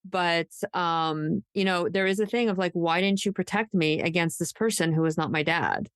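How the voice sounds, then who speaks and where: A woman co-hosting a podcast in casual true crime discussion, thinking out loud in an emphatic, conversational way peppered with "like". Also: on the low side, lively intonation, normal speed, clean tone, no room sound, clean studio sound. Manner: conversational, speculative, emphatic, says "like" often. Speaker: podcast co-host.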